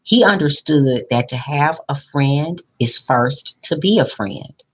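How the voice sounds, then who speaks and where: A woman reading a picture book aloud expressively, pausing in a teacherly way to put questions to young listeners. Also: deep voice, expressive, husky, muffled recording. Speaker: school principal reading aloud to children.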